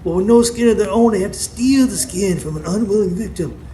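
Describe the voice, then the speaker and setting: A man's voice: sits high, fairly quick, dark tone, natural voice, rough recording. A man playing a wise, stern old folk healer in a live radio drama, terse and commanding as he instructs. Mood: grave, instructive. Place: stage before a live studio audience. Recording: live stage recording with background noise.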